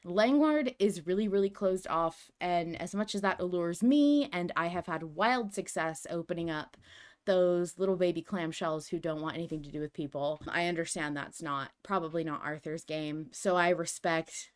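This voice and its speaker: A woman hosting a podcast in casual discussion, talking in an animated, emphatic and rambling way. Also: fairly low pitch, normal speed, clear, resonant tone. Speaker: podcast host.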